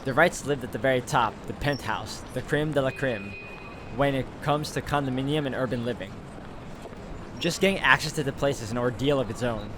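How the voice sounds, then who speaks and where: A man's voice: mid-range voice, fairly quick, background noise. Man reading a visual novel aloud. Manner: thinks aloud with hesitations and asides. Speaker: game streamer reading the story aloud. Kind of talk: narrating and commenting on a visual novel. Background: loud game music.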